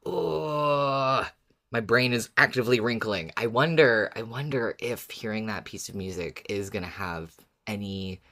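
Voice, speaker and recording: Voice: mid-range voice, measured pace, bright, forceful tone. Musing podcast co-host with a mid-range voice. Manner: musing, thinking aloud. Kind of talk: casual chat about a story.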